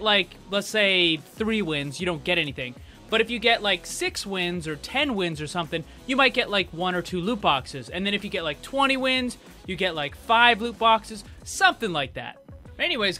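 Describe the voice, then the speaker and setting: A man's voice: high voice, sharp tone. A man hosting a gaming video, giving casual, informal and chatty news commentary. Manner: informal, chatty, frequent "like" and "you know". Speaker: YouTube gaming host.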